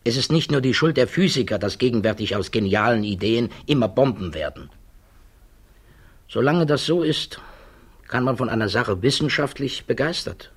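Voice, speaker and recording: A male actor in a 1960s German radio drama playing a troubled, thoughtful physicist who testifies in a hesitant, measured way. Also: mid-range voice, animated, slightly rough. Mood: pensive, troubled.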